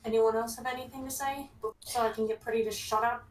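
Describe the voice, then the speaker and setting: A woman's voice: on the high side, steady tone, quick pace, distant mic, poor audio. A blunt, sweary woman chatting casually while moderating a group. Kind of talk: casual, profane group chat.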